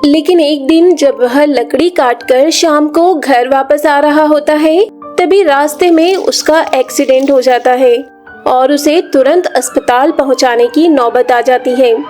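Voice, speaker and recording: High-voiced woman narrating a children's story. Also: unhurried, a little mellow, faint music behind.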